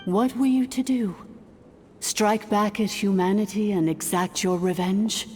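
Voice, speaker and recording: A woman playing a calm, imperious ancient dragon-born artificial intelligence in a video game, speaking formally and with measured stateliness. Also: medium pitch, slightly rough. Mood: solemn. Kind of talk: scripted game dialogue, solemn exposition. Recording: long hall-like reverb, music underneath.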